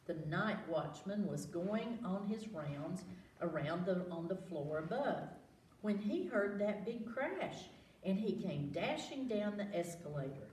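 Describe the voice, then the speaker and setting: Female children's storytime reader, very expressive and unhurried, engaging listeners, recorded at a distance in a roomy space. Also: medium pitch. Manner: animated, asks listeners questions, exclaims. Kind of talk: read-aloud picture-book storytelling to children. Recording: roomy, distant mic, faint background noise.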